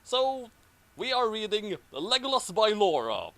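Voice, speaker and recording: Animated man with a highish voice narrating. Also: a little slow, no room sound. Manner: amused, theatrical. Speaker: host and narrator. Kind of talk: comic fan fiction reading.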